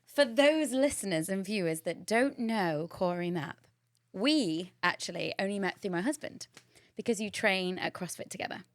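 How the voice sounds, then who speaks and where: A woman's voice: medium pitch, animated, normal speed, no echo, studio quality. A woman hosting a podcast, reading a scripted intro and then chatting casually, bubbly and effusive with frequent laughter. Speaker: podcast host.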